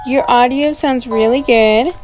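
High-voiced bubbly woman, gushing. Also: unhurried, music in the background. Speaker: YouTube host reviewing channels. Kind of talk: casual reaction commentary.

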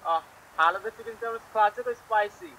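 A woman working at a fast-food drive-thru, taking orders over an intercom. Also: on the high side, bright, forceful tone, poor audio. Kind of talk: customer service order-taking. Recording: drive-thru speaker, faint car noise.

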